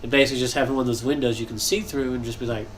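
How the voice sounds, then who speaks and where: Stuffed-up young gamer host, loose thinking-aloud delivery, middle pitch, light background hiss. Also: male voice, fairly even intonation, racing. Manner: rambling, thinking aloud, frequent coughs and throat clearing. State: congested, nasal. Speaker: gaming channel host. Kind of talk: casual commentary while building in a game.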